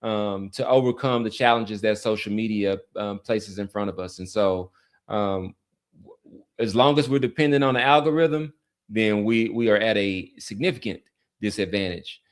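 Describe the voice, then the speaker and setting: A man's voice: fairly low pitch, normal speed. Casual male sports podcast host. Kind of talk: casual sports chat and community sign-off.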